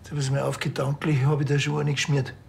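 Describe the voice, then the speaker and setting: Man playing a grumpy, slightly creaky Bavarian cop. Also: medium pitch, fast talker, a little hiss or hum, lightly acted. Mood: irritated. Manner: deadpan, gruff. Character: grumpy, sarcastic male village policeman.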